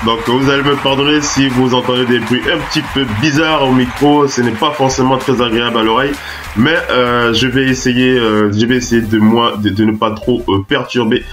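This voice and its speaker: A French-accented man hosts a basketball talk show on web radio, voice congested with the flu. He stumbles through fillers and restarts, then surges into excited announcing. His pitch sits at an ordinary middle. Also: normal speed, background noise. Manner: hesitant, many filler sounds and restarts, hyped-up announcer bursts. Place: radio studio.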